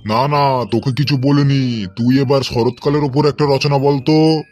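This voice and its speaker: A man's voice: mid-range voice, steady tone, a little slow, a little mellow, faint music behind, lightly acted. A man voicing an exasperated, threatening male schoolteacher in a comic cartoon, scolding and sarcastic, with a Bengali accent. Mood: scheming.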